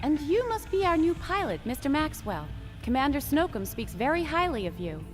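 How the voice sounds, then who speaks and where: A woman's voice: high-pitched, sing-song, moderate pace, soft background music. A woman playing a formal, determined head scientist in a video game. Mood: composed, businesslike. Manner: formal, composed, businesslike. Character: poised woman scientist heading a research lab, formal, determined. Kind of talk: scripted video game voice acting.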